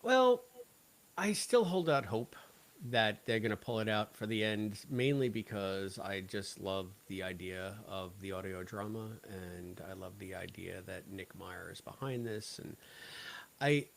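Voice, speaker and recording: A man's voice: lowish voice, lively intonation, unhurried, gravelly, slight background noise. A man co-hosting a casual review podcast, talking in a wry, joking way. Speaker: podcast co-host. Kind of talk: casual review chat about an audio drama.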